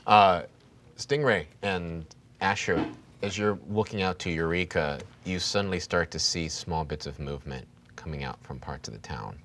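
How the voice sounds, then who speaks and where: A man in his thirties, a game master improvising descriptive horror narration with dramatic build-ups and pauses. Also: deep voice, fairly bright tone, a little hiss or hum. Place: indoor studio set dressed as a bunker.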